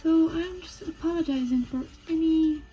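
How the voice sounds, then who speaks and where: A young woman with a Persian accent chatting softly while she draws, thinking aloud as she goes. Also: very high-pitched, fairly even intonation, moderate pace, poor audio. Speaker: drawing video creator. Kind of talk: casual chat while drawing. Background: faint music and marker scratching.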